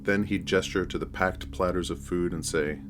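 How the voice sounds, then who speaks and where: A man narrating a scripted first-person horror story in a measured, deliberate storytelling manner. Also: sits low, steady tone, over music.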